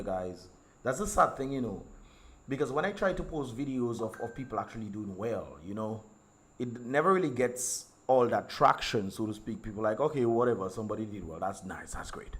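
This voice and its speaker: A hoarse man, chatting casually to his livestream viewers in a rambling way full of ums and repeated words. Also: medium pitch, expressive, moderate pace. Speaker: livestream host and singer.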